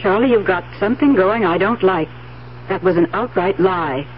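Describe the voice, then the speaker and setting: A woman's voice: medium pitch, moderate pace, slight background noise, close to an everyday voice. An actress playing an uneasy, doubting woman in a 1970s radio drama, anxious and questioning. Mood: disapproving. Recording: muffled, humming old radio recording.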